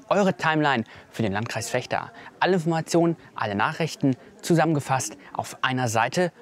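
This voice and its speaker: Young man with a scripted promo delivery. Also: mid-range voice. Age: young adult. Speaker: presenter.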